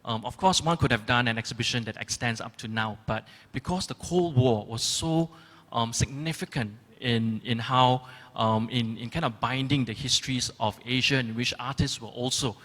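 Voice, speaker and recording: Male curator, measured and halting, mid-pitched, in a reverberant auditorium. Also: a bit bright. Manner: thoughtful, hesitant, many ums. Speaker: curator on a panel. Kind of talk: panel discussion answers. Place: large gallery auditorium. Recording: long reverb of a large hall.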